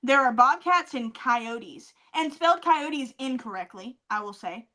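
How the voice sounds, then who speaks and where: A high-pitched woman with a bright, forceful voice co-hosts a casual comedy podcast. She tells stories with heavy emphasis and plenty of swearing. She likes to repeat phrases to drive them home. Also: expressive, a little slow. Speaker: podcast co-host. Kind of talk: casual comedic chat, storytelling.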